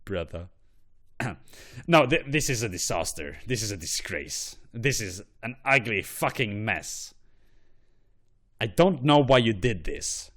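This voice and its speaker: A man's voice: fairly low pitch, expressive, slow pace, fairly bright tone. A man hosting an online show, giving blunt, profane and rambling opinions. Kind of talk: ranting commentary on flags.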